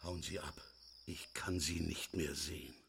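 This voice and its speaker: A man playing an irascible, domineering American businessman in a German audio drama, gruff, imperious and contemptuous. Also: a bass voice, steady tone, a little slow, fairly bright tone, exaggerated voice. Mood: angry.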